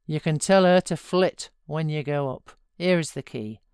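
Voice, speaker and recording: A woman's voice: deep voice, moderate pace, darkish tone, clean tone, no echo, studio quality. A woman voicing a callous, domineering master of the house in a novel, curt and commanding.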